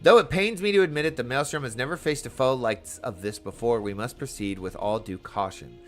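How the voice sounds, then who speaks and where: A man's voice: mid-range voice, a little fast, fairly bright tone, faint music behind, lightly acted. A man voicing a stern, earnest garrison commander from a fantasy game, speaking in formal, archaic military language. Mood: grave, worried. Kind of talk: reading game dialogue aloud in character.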